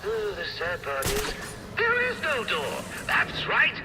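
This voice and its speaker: Over loud music, a manic clown villain from a video game, pitched very high and swooping wildly. He is theatrical, sadistic and mocking, drawing out his taunts and sliding toward cackles. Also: wildly swooping pitch, full character voice. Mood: mocking glee. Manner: taunting, drawn-out delivery with sudden emphasis.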